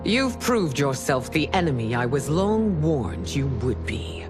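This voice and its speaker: An actress playing a proud, suspicious empress in a video game, with a formal, imperious delivery. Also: female voice, deep voice, sing-song, unhurried, over music. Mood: suspicious, cold. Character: proud, suspicious regal empress, stern and commanding. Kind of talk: dramatic video game cutscene acting.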